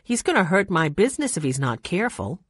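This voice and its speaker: A woman voicing a brisk, friendly lodge owner. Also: fairly low pitch, very animated, breathy edge, no room sound, studio quality.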